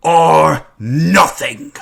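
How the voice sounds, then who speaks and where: Man acting a creaky, menacing conqueror supervillain. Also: fairly high pitch, fairly bright tone, heavily acted. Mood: enraged taunting. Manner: theatrical, deliberate menace. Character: menacing male conqueror supervillain, cold and prone to rage. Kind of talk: amateur dramatic reading of comic-book villain. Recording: music behind the voice.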